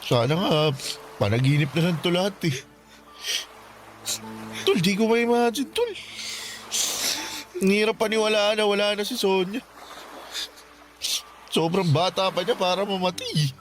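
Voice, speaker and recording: A young Filipino man torn by grief and self-blame. His voice sits a bit high, in an emotional radio-drama style, with light music underneath. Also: measured pace, long pauses. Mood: grieving. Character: grieving, self-blaming young man mourning his friend.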